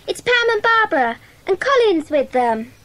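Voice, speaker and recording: A woman's voice: very high-pitched, sing-song, normal speed, fairly bright tone, full character voice, rough recording. A woman playing a practical, eager young schoolgirl in a dramatised children's audiobook. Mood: busy, eager.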